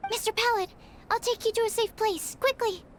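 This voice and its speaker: A woman's voice: very high-pitched, fairly even intonation, brisk, very noisy. A woman voicing a timid, polite young female alchemist who stammers and apologizes. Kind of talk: video game voice acting.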